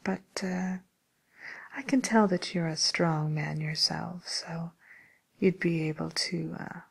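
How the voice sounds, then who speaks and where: A woman playing a polite, tactful matchmaker for villains in an ASMR roleplay, with a soft, whispery voice and hesitant, courteous service patter. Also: on the low side, fairly even intonation, slow, vocal fry, husky, heavily acted. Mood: tactful, confiding.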